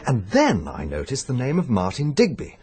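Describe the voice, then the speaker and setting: An actor playing an earnest, worried amateur investigator with a British accent, articulate and insistent, in mid-century radio drama style. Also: male voice, medium pitch, huge pitch swings, moderate pace, darkish tone, soft background music. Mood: urgent, intrigued. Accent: British English.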